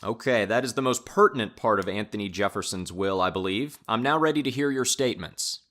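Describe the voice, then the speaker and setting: A man playing a calm, courteous arbitrator with a measured, official manner in an audio drama. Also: on the low side, natural voice. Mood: calm authority. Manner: measured, official, even-tempered. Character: calm, courteous male arbitrator settling disputes.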